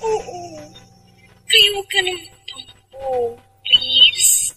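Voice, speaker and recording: A lovesick woman pleading by telephone in a radio drama. Her voice is extremely high, with a very sharp, bright edge. She sounds timid and submissive. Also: animated, drawn-out words, faint music behind, exaggerated voice. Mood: pleading, yielding.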